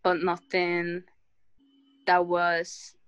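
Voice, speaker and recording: A woman sharing personal experiences in a group discussion, in a casual storytelling way with wry, animated quotes. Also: on the low side, extremely slow, smooth, no room sound.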